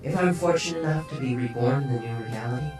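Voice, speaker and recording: A man playing a calm, polite glowing spirit. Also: medium pitch, quick pace, close to an everyday voice. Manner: smooth, polite, lightly teasing. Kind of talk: fan audiobook acting. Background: music.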